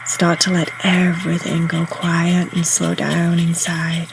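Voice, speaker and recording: A woman guiding a meditation in a soothing, unhurried, instructional way, leaving pauses between phrases. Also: lowish voice, monotone, creaky, noisy background. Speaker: meditation guide. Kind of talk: guided meditation narration. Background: soft ambient meditation music.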